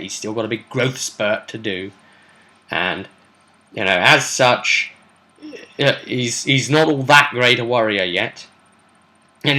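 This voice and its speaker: A British man with a bright, forceful voice and an average pitch. He rambles through a tabletop adventure like a game master among friends, chatty and animated, in a casual storytelling style. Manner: animated, chatty, acts out brief quotes. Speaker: host and game master recapping sessions.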